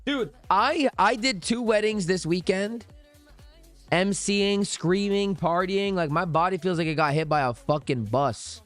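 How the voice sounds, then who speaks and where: Hoarse, slangy man on a live stream. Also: a little slow, a bit bright, faint music behind. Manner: slangy, profane, bro-talk banter; low energy. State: hoarse, lost voice. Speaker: livestream trading host reading chat. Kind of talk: casual live-stream chat.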